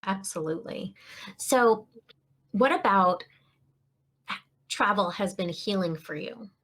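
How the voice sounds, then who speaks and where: A woman's voice: medium pitch, takes it slowly, a bit bright. A woman hosting an interview podcast, greeting and praising her guest with effusive enthusiasm. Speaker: podcast host.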